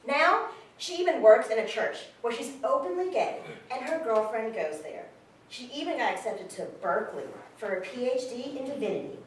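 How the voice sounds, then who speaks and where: Earnest, higher-voiced actress as a woman, very echoey. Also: expressive, moderate pace, close to an everyday voice. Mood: hopeful, emphatic. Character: earnest woman moved by a friend's story. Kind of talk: staged reading monologue, earnest storytelling. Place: stage in a reverberant room, recorded from afar. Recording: very echoey hall, far mic, faint noise.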